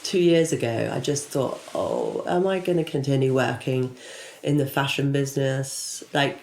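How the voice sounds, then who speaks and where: A woman's voice: a bass voice, animated, normal speed, creaky, a little mellow, slight background noise. A woman in her forties, a PR consultant and interview guest, chatting casually and rambling with many restarts. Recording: echoey room.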